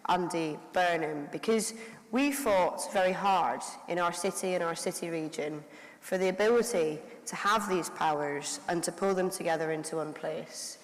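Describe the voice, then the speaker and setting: A woman giving a prepared conference speech and introducing a keynote speaker, in a measured, formal delivery. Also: on the low side. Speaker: council leader introducing the keynote. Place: large conference hall. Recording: long hall reverb.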